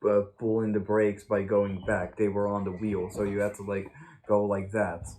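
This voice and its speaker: Low-voiced man rambling haltingly, noisy recording. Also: flat delivery, a little mellow. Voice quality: halting, stammering delivery. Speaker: vlog host. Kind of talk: casual rambling storytime chat. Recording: noisy amateur vlog recording.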